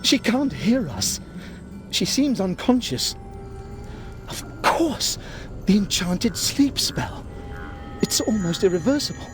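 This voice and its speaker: A timid, scholarly wizard from a fantasy game. He speaks high and expressively, with anxious theatrical flair. Music plays behind him. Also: big pitch swings, takes it slowly, long pauses, full character voice. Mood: concerned. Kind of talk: video game character acting.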